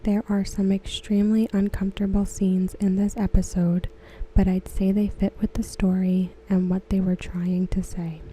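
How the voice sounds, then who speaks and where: A woman reading aloud softly for ASMR, gentle and hushed, with a breathy voice that often slips into a whisper. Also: medium pitch, little pitch movement, normal speed, hardly any pauses, a little hiss or hum, low-quality recording. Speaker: ASMR host. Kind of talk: ASMR, soft-spoken reading of discussion comments.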